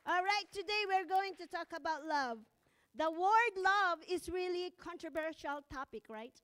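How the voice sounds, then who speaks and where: A woman's voice: extremely high pitch, normal speed, fairly bright tone. A middle-aged woman pastor with a Filipino accent, whose first language is Ilocano, preaching a church sermon with nervous laughter and fervent prayer.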